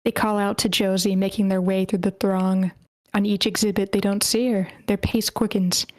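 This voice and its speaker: A woman narrating stage directions at a screenplay table read in a measured way, with the odd stumble over a word. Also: mid-range voice, flat delivery, no room sound. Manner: measured, slightly stumbling reading. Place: online meeting.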